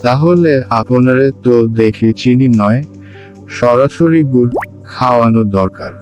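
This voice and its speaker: A man's voice: medium pitch, measured pace, darkish tone, faint music behind, natural voice, low-quality recording. A man playing a wisecracking village tea seller in a cartoon. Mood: teasing. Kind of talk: cartoon dialogue.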